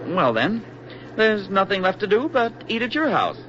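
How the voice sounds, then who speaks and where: Breezy, animated young soldier. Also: male voice, sits high, moderate pace. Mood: cheerfully persistent. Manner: folksy, eager, talkative. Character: affable, breezy young army private on furlough. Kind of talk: 1940s radio drama acting. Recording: muffled, noisy old 1940s radio recording.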